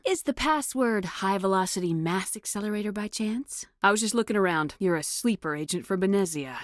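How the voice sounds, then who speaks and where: An actress playing a tough, no-nonsense space marine commander in a video game, speaking in a firm, clipped, authoritative way. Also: female voice, mid-range voice, no echo, studio quality, natural voice. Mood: probing, controlled. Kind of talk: scripted video game dialogue acting.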